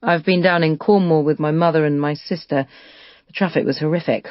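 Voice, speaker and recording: A woman voicing a young man who explains himself in a lowered, quick, apologetic way. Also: no echo, natural voice. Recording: slightly dull dry studio recording.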